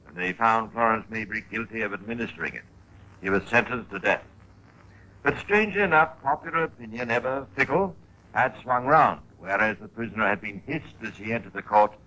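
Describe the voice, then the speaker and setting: A man hosts a 1950s radio crime drama with scripted narration. His voice is fairly low but bright and forceful. He speaks in a measured, theatrical way, leaning on key words. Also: slight background noise, rough recording. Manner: measured, theatrical emphasis with rhetorical questions. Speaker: narrator and host.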